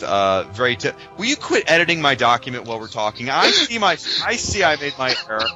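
A man co-hosting a podcast, chatting casually and informally like an animated fan. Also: mid-range voice, lo-fi sound. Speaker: podcast co-host.

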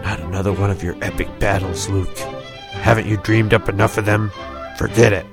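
A man voicing a bored young man, speaking low and flat in a dismissive way. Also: brisk, vocal fry, music in the background.

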